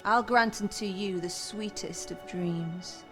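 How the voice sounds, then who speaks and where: An actress playing a seductive, vengeful masked madam in a fantasy game, speaking in measured, theatrical, archaic phrases. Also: female voice, mid-range voice, over music, natural voice. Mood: grateful, intimate. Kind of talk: fantasy video game voice acting.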